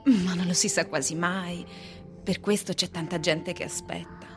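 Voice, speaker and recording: A woman's voice: on the low side, steady tone, moderate pace, lightly acted. A woman acting in a radio drama as a flirtatious, fickle wife, coy and confiding in her delivery. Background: music.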